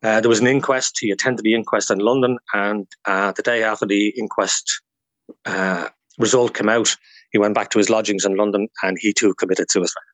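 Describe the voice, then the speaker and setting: A man's voice: on the low side, steady tone, moderate pace, a bit bright, dry recording. A man with an Irish accent talking as a guest historian in a radio interview, explaining things informally through anecdotes.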